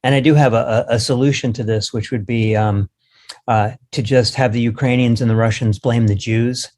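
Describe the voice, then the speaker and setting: A man hosting an interview in a relaxed, hesitant way, with wry jokes. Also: mid-range voice, normal speed. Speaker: host.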